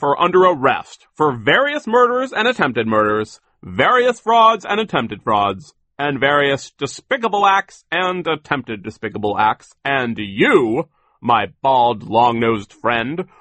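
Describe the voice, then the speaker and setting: A man voicing a stern, indignant, officious gentleman in a storybook, speaking in formal, emphatic declarations. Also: medium pitch, very animated, takes it slowly, hardly any pauses, dry recording, pitched above the performer's own voice, exaggerated voice. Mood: stern, commanding.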